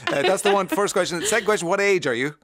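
A man's voice: high voice, racing, fairly bright tone, a little hiss or hum. An Irish man hosting a radio show, joking and hesitating with fillers as he chats with a guest. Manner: jokey, hesitant, fond of fillers and laughs. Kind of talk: casual radio interview. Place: radio studio.

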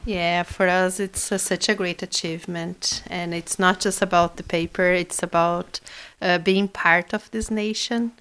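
Smooth, flat-voiced woman from Brazil. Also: fairly low pitch, a little slow. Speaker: interviewee. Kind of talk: personal account.

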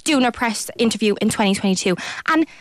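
A woman with a Dublin accent, a guest in a radio studio, chatting in a lively, eager way. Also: fairly high pitch, fast talker, dry recording. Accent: Dublin Irish. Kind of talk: casual radio chat.

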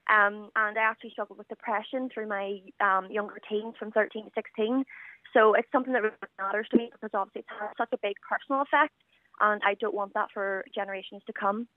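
A woman's voice: medium pitch, fairly even intonation, quick pace, dry recording. A confident 21-year-old woman from Northern Ireland with a Northern Irish accent, chatting with many fillers as a guest on a radio call-in. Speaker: guest caller. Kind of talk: casual radio interview over the phone. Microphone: telephone. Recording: tinny phone line.

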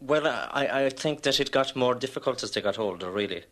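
An Irish man from the west talking about his daughters in a radio interview, measured and thoughtful, with frequent uhs. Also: medium pitch, lively intonation, a bit bright. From West Limerick, Ireland. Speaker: father interviewed at home.

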